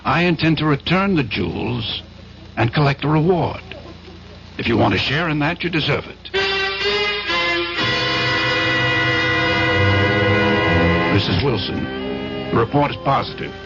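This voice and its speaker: Gravelly, smooth-talking American schemer. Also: male voice, on the high side, lively intonation, moderate pace. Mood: businesslike. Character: smooth, insistent, scheming American importer and war veteran. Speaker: radio drama actor. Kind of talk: vintage radio mystery acting. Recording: muffled vintage radio recording, music behind.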